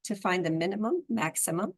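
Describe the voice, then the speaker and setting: A woman instructor with a froggy, hoarse voice, calmly explaining a software tutorial step by step. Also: medium pitch, fairly quick. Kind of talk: screen-recorded software tutorial.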